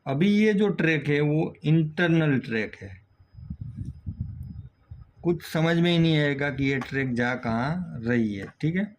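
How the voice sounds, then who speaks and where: A man's voice: on the high side, lively intonation, a little slow. A man with an Indian accent giving a hands-on technical tutorial as a repair instructor, explaining step by step and often checking in with the learner. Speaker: auto electrical repair instructor. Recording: dull lo-fi sound, faint background noise.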